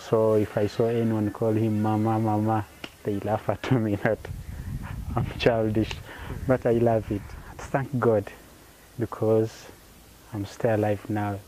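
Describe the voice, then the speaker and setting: A man in his thirties with a Ugandan accent, giving a personal testimony in a halting, plain-spoken, earnest way. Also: on the low side, flat delivery, mellow, a little hiss or hum, low-quality recording. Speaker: interviewee. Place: outdoors by a garden wall. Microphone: lapel mic.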